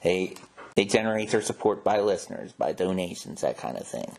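Male host, lowish voice, casual explainer delivery. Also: sing-song, a little fast, vocal fry, a little mellow, rough recording. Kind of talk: casual informative talk on old radio show history.

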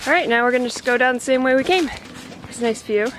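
A woman reporter narrating a hike outdoors in a relaxed, practical, chatty way, speaking into a handheld camera and now and then talking to her dog. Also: high-pitched, quick pace, noisy background. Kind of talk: casual guided hike narration. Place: outdoors on a coastal trail.

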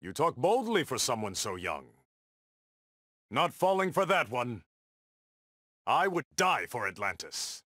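Stern game warrior king, regal, defiant, forceful tone, sweeping pitch. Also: big pitch swings, a little slow, long pauses, dry recording, clean studio sound, exaggerated voice. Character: proud, stern warrior king of an undersea realm. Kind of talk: video game battle dialogue.

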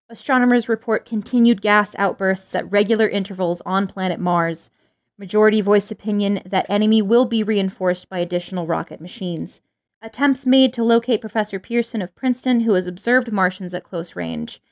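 A serious female announcer for a radio play, acted by a woman. She delivers urgent invasion bulletins formally and with measured gravity. Her voice sits at an average pitch. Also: natural voice. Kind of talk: radio drama news bulletin.

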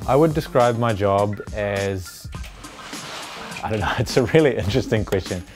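Young man, very low voice, reflective, lapel mic, faint music underneath. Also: expressive, normal speed. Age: young adult. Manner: reflective, with frequent restarts and filler "uh". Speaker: designer, studio founder. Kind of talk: documentary interview. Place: large indoor design workshop.

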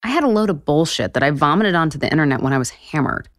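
An actress playing an overwhelmed, sarcastic, foul-mouthed author in an audio romantic comedy. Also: female voice, low voice, lively intonation, fast talker. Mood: exasperated. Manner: sarcastic, exasperated.

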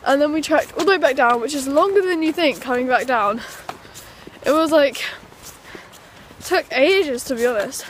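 A woman's voice: high voice, moderate pace. A young woman chatting to the camera as a vlogger, upbeat and talkative, often while hiking outdoors. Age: young adult. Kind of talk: casual vlog chat. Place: outdoors on a windy hillside and indoors. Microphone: phone held at arm's length. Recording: noisy phone recording.

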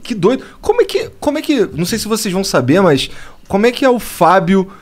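A young man co-hosting a podcast, excitable and swearing freely in casual chat. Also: high voice, fast, a little hiss or hum. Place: podcast studio. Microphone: studio mic on boom arm.

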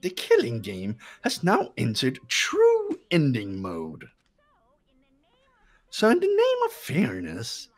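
A woman voicing a sadistic robot teddy bear mascot for a game, raspy, theatrical and taunting, with mock politeness. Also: fairly low pitch, exaggerated voice. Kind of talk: video game voice-over dub.

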